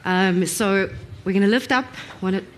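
A woman's voice: medium pitch, normal speed. Lady hosting a live event, upbeat, getting the crowd involved, bit of room noise. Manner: upbeat, encouraging. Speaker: presenter, audience warm-up leader. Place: live conference session.

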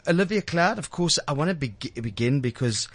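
A man's voice: on the high side, lively intonation, normal speed, a bit bright, no echo. A man hosting a casual studio interview, chatty and teasing, often repeating words and restarting his sentences as he goes. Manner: chatty, teasing banter with guests. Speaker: radio show host. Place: radio studio. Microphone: studio microphone.

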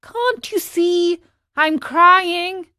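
A woman's voice: high voice, big pitch swings, takes it slowly, fairly bright tone, voice pushed up, full character voice. A woman voicing a naive, impulsive wooden marionette boy in a storybook, with an exclamatory, pleading delivery. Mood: tearful, startled. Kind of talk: storybook character voice.

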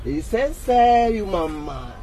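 An actor in a radio soap playing a creaky-voiced, deranged, obsessive man. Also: sits high, very animated, slow, dark tone, a little hiss or hum, exaggerated voice. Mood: crazed muttering. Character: Manecas, deranged man obsessed with fathering an heir. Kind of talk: radio soap opera acting.

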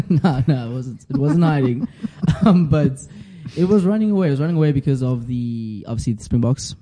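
Zulu-speaking man with a dark, worn voice. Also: medium pitch, normal speed. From South Africa. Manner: laughs often, relaxed banter. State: strained voice from shouting. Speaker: podcast co-host. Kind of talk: casual chat. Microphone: studio mic.

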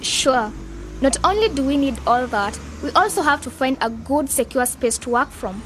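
High-voiced confident young woman played by an actress. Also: moderate pace, a little hiss or hum, lightly acted. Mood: enthusiastic, persuasive. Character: confident, idealistic young woman leading a youth music club. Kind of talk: radio drama acting.

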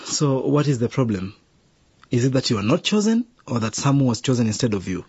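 A man's voice: mid-range voice, big pitch swings, brisk, darkish tone, no room sound. An actor playing a widowed police officer and caring father who talks earnestly and sighs. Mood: fatherly. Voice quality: husky. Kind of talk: radio drama acting. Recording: dull dry radio drama recording.

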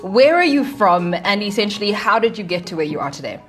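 A woman hosting an interview, asking curious questions with drawn-out fillers. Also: medium pitch, very animated, a bit bright, soft background music. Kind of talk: podcast interview.

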